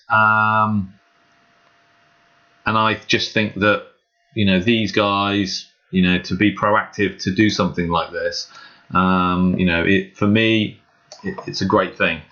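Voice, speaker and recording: A man's voice: fairly low pitch, steady tone, slow, bright, forceful tone. A man talking casually and earnestly in an unscripted, hesitant way, as host of a hobbyist walkthrough video. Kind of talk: casual screen walkthrough vlog. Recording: dull, muffled lo-fi microphone.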